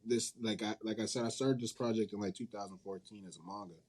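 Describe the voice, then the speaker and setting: A man's voice: fairly low pitch, fairly even intonation, quick pace, darkish tone. A man being interviewed in a casual, informal and rambling way, often correcting himself as he goes. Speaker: interview guest, manga company head and creator.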